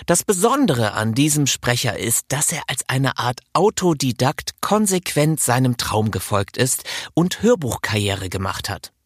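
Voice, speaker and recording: A man with a husky, mid-pitched voice hosts an audiobook talk podcast. He presents and puts questions to a guest. The recording is close and dry, made in a studio. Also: very animated, unhurried, few pauses, no echo.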